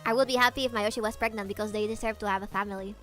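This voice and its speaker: A woman's voice: medium pitch, steady tone, racing, fairly bright tone, faint music behind. A young woman streaming a game, chatting casually and sarcastically with her chat, close on a desk microphone. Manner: chatty, sarcastic, reacts to chat and laughs often. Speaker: VTuber streamer.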